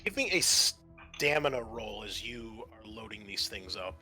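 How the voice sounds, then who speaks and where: Bright-voiced man running a tabletop game. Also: fairly low pitch, expressive, low-quality recording. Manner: halting, conversational. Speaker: game master. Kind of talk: improvised tabletop game narration. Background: background music.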